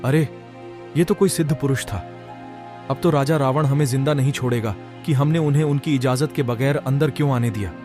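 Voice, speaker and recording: A man's voice: medium pitch, a little fast, over music, lightly acted. A man playing a panicked, awestruck palace guard in a devotional cartoon story. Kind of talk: devotional animated story acting.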